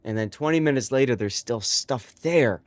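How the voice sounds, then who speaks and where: A man's voice: mid-range voice, lively intonation, normal speed, no room sound. A man with a stuffy, congested voice, hosting a live stream and chatting casually with his viewers, rambling with many fillers.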